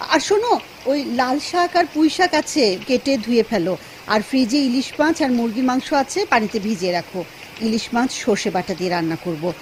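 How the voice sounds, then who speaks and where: A woman's voice: a little high, moderate pace, a little hiss or hum, close to an everyday voice, rough recording. A woman acting a lonely, devoted housewife in a Bengali radio drama, speaking expressively and tenderly. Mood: kindly, caring.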